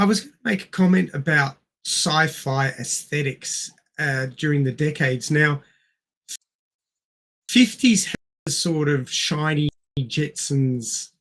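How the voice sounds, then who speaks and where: A man's voice: a little high, fairly bright tone. A middle-aged man co-hosting a podcast, casually discussing film in an explanatory way and repeating words for emphasis. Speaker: podcast co-host.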